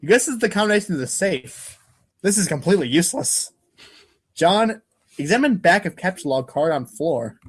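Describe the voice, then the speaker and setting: A man's voice: sits high, lively intonation, normal speed, slightly rough. A man giving a wry, theatrical dramatic reading as a podcast narrator, now and then tripping over long words.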